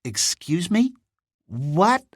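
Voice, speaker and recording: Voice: medium pitch, big pitch swings, extremely slow, slightly rough, dry recording, studio quality, pitched above the performer's own voice, heavily acted. A narrator voicing an easygoing, modest young English boy wizard in an audiobook, casual and a little bemused.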